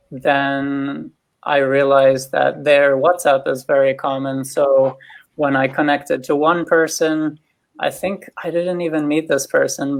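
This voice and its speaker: A man from Switzerland, founder of the group, telling a rambling story on a video call with frequent self-corrections. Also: mid-range voice. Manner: rambling, self-correcting, pace swinging fast and slow. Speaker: founder and host. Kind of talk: casual storytelling on a webinar.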